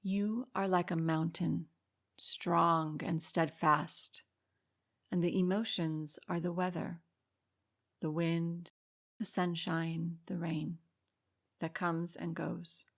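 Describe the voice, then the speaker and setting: A woman with a slightly low voice narrates a guided meditation. She speaks calmly and soothingly, giving unhurried instructions with room left between phrases. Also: creaky. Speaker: meditation guide and podcast host.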